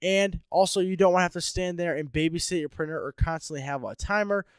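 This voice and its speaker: A man's voice: high-pitched, fast talker, clean tone, no echo, studio quality. A man hosting a solo podcast, stuffed up with a head cold, explaining things in an upbeat, informal way. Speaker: podcast host.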